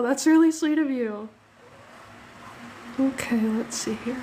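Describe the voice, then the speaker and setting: A woman's voice: on the high side, slight background noise. A young woman hosting a live art stream, chatting casually, thanking viewers and laughing easily, a little sniffly from a cold. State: slightly sniffly. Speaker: art livestream host. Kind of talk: casual live drawing chat.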